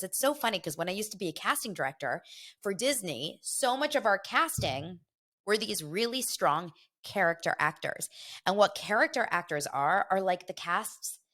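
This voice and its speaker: Middle-aged woman host, gossipy chat, slightly low pitch, stuffy from a cold. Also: a bit bright. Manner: chatty and gossipy, quick asides. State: congested from a head cold. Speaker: podcast host. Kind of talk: casual chat.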